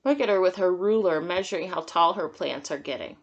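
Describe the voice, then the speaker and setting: A woman's voice: on the low side, fairly quick, highs cut off. A woman teacher reading a picture book aloud to young children, adding simple, encouraging comments and questions as she goes. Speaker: kindergarten teacher.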